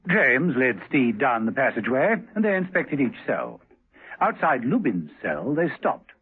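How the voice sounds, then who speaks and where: Husky vintage radio thriller narrator. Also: male voice, mid-range voice, very animated, unhurried, heavily acted. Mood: measured. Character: measured male narrator of a spy thriller. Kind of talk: scripted 1970s radio drama narration. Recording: muffled old radio broadcast recording.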